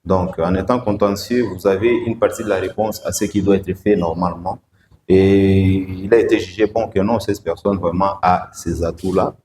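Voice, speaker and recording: Deep-voiced man, echoey room. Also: darkish tone. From Democratic Republic of the Congo. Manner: measured, hesitant, frequent "euh" fillers. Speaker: guest, provincial road-safety director. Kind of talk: studio interview answers. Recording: echoey room, faint background noise.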